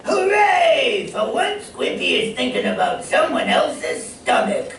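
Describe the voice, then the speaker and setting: Gruff, chuckling cartoon sailor, pitch leaping about, very echoey stage. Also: male voice, very animated, takes it slowly, fairly bright tone, exaggerated voice. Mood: jolly, kindly. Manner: chuckling, folksy, ungrammatical sailor talk. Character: gruff, good-hearted cartoon sailor man with mangled grammar. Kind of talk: old radio comedy acting. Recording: roomy stage recording, far mic, faint noise.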